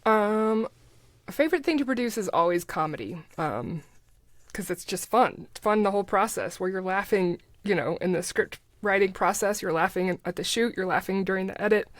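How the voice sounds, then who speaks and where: A woman's voice: medium pitch, fairly quick. A middle-aged woman, a podcast guest, talking in casual interview chat with an informal, self-deprecating manner. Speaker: podcast guest, voice actor and ad producer.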